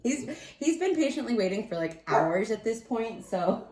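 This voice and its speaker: A woman with a slightly raspy voice giving a casual tarot card reading, chatty and often thinking aloud as she corrects herself. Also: on the high side, sing-song, moderate pace, darkish tone, roomy sound, a little hiss or hum.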